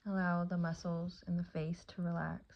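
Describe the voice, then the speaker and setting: Woman doing yoga nidra, super flat soothing delivery, lots of vocal fry, long pauses, bit muffled. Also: lowish voice. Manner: soothing, unhurried, with long pauses between short phrases. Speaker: yoga nidra teacher and meditation guide. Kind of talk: guided meditation.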